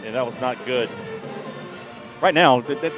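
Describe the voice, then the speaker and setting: A man calling play-by-play for a high school football game, openly rooting for the home team. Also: normal speed. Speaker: play-by-play announcer. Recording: music in background.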